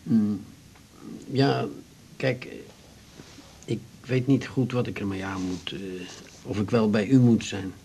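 An actor playing a meek, grieving widower in a 1980s radio drama, halting and low-spirited, with a gravelly, husky voice. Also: male voice, steady tone, mellow, slight background noise. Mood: hesitant, sad. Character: grieving, hesitant widower who drinks, meek and wounded.

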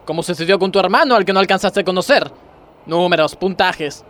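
A man's voice: high-pitched, a little fast, fairly bright tone. A man acting a pompous, moralizing gymnastics coach who makes grandiose speeches in a parody radio play. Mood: demanding. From Argentina. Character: pompous, moralizing male gymnastics coach, scolding yet easily distracted.

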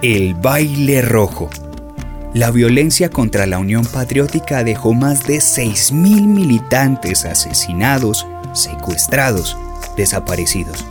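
Casting: solemn documentary narrator, mid-pitched but very lively, emphatic, music clearly underneath. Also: male voice, slow pace. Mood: grave. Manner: emphatic, declamatory. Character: grave narrator denouncing political violence. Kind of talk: dramatic documentary narration in a radio drama.

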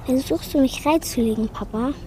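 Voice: high voice, fairly quick, background noise, natural voice. A performer playing an inquisitive small girl, earnest and persistent, in radio drama style. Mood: curious, earnest. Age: child. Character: inquisitive four-year-old girl questioning her father.

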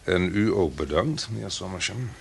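An actor playing a coolly menacing, polite blackmailer in an old Dutch radio play with faint noise. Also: male voice, sits low, animated, moderate pace, vocal fry. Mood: cool, controlling. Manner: suave, commanding, precise.

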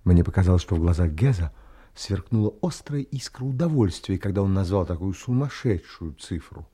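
Earnest, animated young gentleman, husky. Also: deep voice, moderate pace. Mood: reflective narration. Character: earnest, determined young gentleman seeking sea passage. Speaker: actor narrating and playing the lead. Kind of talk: dramatised audiobook acting.

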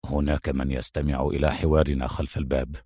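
A man voicing a serious, sharp-witted secret agent in an audiobook, measured and terse. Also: low voice, breathy edge, no echo, studio quality, voice pushed down. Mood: hushed, alert. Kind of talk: dramatized audiobook hero.

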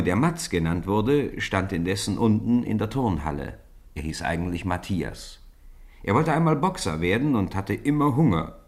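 A man with a very low voice tells a story in the manner of a 1950s radio play. His delivery is measured and expressive, that of a practised narrator.

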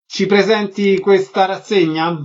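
A man working as a radio host. His voice sits a little high for a man, and he delivers scripted introductions and interview questions. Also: steady tone, measured pace, highs cut off.

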